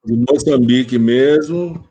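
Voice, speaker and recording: A man from Brazil with a Brazilian Portuguese accent, speaking at length as a panel guest in a live online conversation, with many fillers and pauses. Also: mid-range voice, dark tone, lo-fi sound. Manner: rambling, hesitant, many fillers. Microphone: video call.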